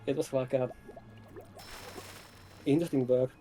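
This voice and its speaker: A man of average pitch speaks with little melody, as a game developer being interviewed on a casual stream. His phrases come hesitantly, in short pieces. Faint music plays behind him. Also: fairly even intonation, unhurried, warm tone.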